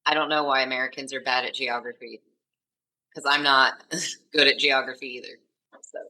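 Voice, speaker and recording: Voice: medium pitch, fairly even intonation, normal speed, pushed and bright, poor audio. A podcast co-host chatting in an easygoing, hesitant way. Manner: easygoing, hesitant, with fillers and laughs. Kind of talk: casual chat.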